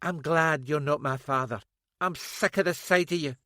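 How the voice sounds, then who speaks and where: A man's voice: a little high, normal speed, bright, forceful tone, dry recording, clean studio sound, pitched above the performer's own voice. A man voicing a bitter, scornful young Scottish woman who speaks in an accusing way. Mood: contemptuous.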